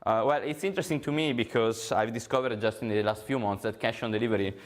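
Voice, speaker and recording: A man's voice: medium pitch, quick pace. A young man with an Italian accent giving a technical conference talk, explaining at length with many ums and uhs. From Italy. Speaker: conference speaker.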